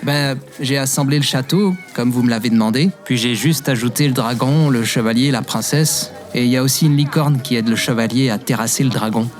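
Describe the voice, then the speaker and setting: A man's voice: medium pitch, fairly quick, hardly any pauses, lightly acted. An actor playing a clumsy, good-hearted young man in a French audio drama, hesitant and boyish in his manner. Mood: earnest. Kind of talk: French audio fiction acting with narration. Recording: music behind the voice. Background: music.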